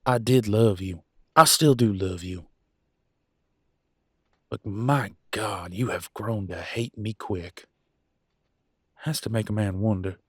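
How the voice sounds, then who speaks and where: A man's voice: lowish voice, slow, long pauses, husky, dry recording, clean studio sound. A man playing a proud, wounded werewolf husband and country vet in a roleplay audio drama, with a drawl and a folksy, bitter, raw way of talking. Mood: hurt, accusing. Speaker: voice actor. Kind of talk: dramatic roleplay audio, one side of a couple's argument.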